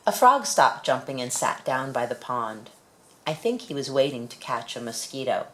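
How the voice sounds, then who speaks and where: A woman with a low-pitched voice reads a picture book aloud for young children. Her delivery is expressive storytelling, in a deep female register. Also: normal speed, slight background noise. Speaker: storybook reader.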